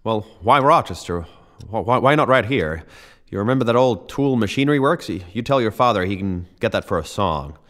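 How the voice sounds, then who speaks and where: A man playing a restless, stubborn young man in love, with halting, stammering speech, in an old-time radio drama. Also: on the low side, expressive, fairly bright tone. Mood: hesitant, curious.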